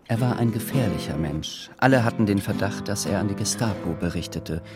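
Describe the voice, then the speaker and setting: A man's voice: lowish voice, expressive, brisk, over loud music. A man giving scripted literary narration as the storyteller of a radio drama.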